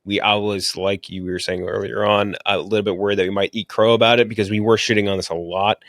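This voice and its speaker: Casual, low-voiced man reviewing movies. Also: flat delivery, fast, a bit bright, dry recording, studio quality. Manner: informal, blunt, swearing. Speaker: podcast host reviewing movies.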